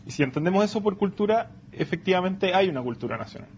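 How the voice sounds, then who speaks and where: A man's voice: high voice, animated, quick pace. A man giving his opinion in a panel discussion, hesitant and rewording as he goes. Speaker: youth panelist. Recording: dull sound with faint background noise.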